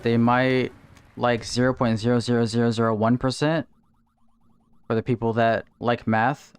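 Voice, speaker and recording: A man streaming a game live from home, chatting casually, answering viewers and thinking out loud about his strategy. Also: medium pitch, level tone, moderate pace, smooth. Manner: chatty, answers viewer chat while playing, thinks aloud. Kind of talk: casual livestream gameplay chat. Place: home, in Texas.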